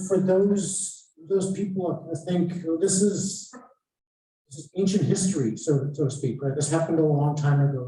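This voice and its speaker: A man's voice: fairly high pitch, dark tone. Muffled, echoing man moderating a panel. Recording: very echoey room, distant muffled mic.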